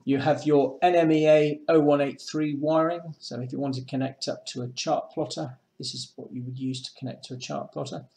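A man's voice: fairly high pitch, steady tone, brisk, vocal fry, few pauses, mellow. A middle-aged man presenting a product demonstration in a matter-of-fact, explanatory way. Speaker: presenter of a boating shop's product video. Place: small indoor room with painted block walls. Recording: echoey small room.

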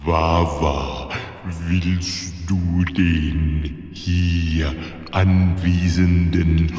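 Solemn deep wedding officiant, kids radio play, halting, big echo, music faintly behind. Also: male voice, fairly even intonation, darkish tone. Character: solemn, ponderous master of a mock wedding ceremony. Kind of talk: 1970s German children's radio play. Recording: echoey hall, long reverb.

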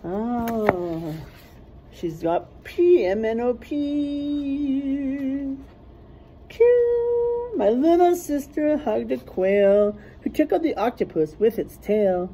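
Woman, middle-aged, teacher reading rhymes to kids; deliberate and unhurried, slightly rough-edged husky voice. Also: sits high, sing-song, mellow, low-quality recording. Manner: sing-song, deliberate, stressing rhyming words and letters. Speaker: teacher reading aloud to young children. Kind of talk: read-aloud of a rhyming picture book with teaching asides. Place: small classroom, indoors.

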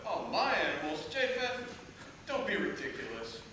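Casting: young man playing indignant, sarcastic brother in a stage play, high and swooping, echoey distant recording. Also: sing-song, moderate pace, sharp tone, slight background noise, heavily acted. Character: defiant, soft-hearted brother who tends animals. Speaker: amateur stage actor. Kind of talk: loud school stage acting. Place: theatre stage, distant audience camera.

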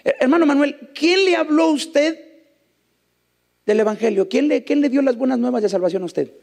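A man's voice: extremely high pitch, animated, moderate pace, creaky, in a large hall. A man, preaching on a church stage and joking with the congregation. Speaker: guest preacher and singer. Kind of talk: sung worship then sermon. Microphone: handheld mic. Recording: loud band music behind. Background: band music during songs.

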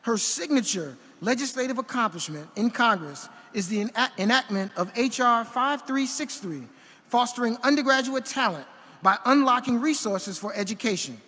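A man with a very high voice reads a formal resolution in a measured, ceremonial way, stumbling now and then over a word. He speaks into a podium microphone. His voice rings out through a huge arena with a long reverb tail. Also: expressive, sharp tone, breathy edge. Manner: measured formal reading with occasional slips. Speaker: university official presenting an honorary degree. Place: large indoor arena at a commencement ceremony. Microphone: podium gooseneck microphone.

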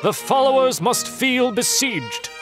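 A man's voice: very high voice, lively intonation, unhurried, music in the background. A man reading scripted narration for a comic dub in a grand, dramatic manner.

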